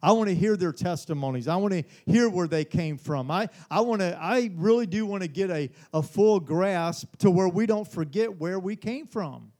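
Casting: forties male pastor, high-pitched voice, earnest midweek Bible study preaching, stumbles and repeats himself. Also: expressive, normal speed, few pauses, darkish tone. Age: 40s. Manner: earnest, repeats words, frequent "Amen?".

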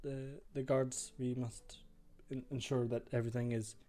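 A man's voice: mid-range voice, level tone, moderate pace, dark tone, natural voice. A man voicing a tired, disheveled older guard captain who stammers and hesitates while staying politely suspicious. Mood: defensive.

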